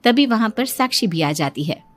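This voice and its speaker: A woman's voice: a little high, lively intonation, normal speed, no echo. A woman reading scripted narration for a moral story, as its narrator.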